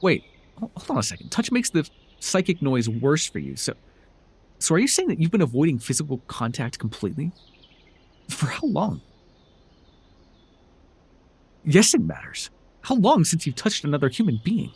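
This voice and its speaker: A man's voice: on the high side, sing-song, fairly quick, gravelly, a little mellow, husky, heavily acted. A man playing a sardonic, telepathic supervillain in a dramatic audio roleplay, with a theatrical, taunting way of talking. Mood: urgent concern. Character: sardonic male telepathic supervillain, menacing yet curious. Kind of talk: dramatic ASMR roleplay acting.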